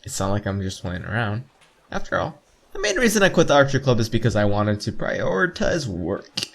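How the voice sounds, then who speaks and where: A man's voice: on the low side, huge pitch swings, a little fast, gravelly. A man reading visual novel narration aloud with playful, casual asides. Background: game music.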